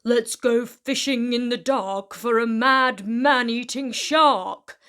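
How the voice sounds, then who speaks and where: A boisterous Viking adventurer from a storybook, acted out by a woman. The delivery is bold and declamatory, very slow and drawn out, in a fairly high voice. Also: fairly bright tone. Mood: eager, boastful.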